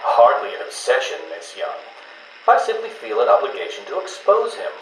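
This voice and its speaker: Arrogant, volatile American game villain, genius criminal patient. Condescending, very animated delivery, echoey taped interview. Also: male voice, mid-range voice, normal speed. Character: arrogant, brilliant male riddle-obsessed criminal mental patient, taunting and volatile. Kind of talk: video game villain voice acting, interview dialogue. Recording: echoey taped interview, faint background noise.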